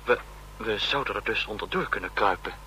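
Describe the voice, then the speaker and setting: A man's voice: on the low side, fairly quick, bright, forceful tone. An actor playing a cautious, questioning male fugitive in a radio drama. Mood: anxious. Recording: noisy old radio drama recording.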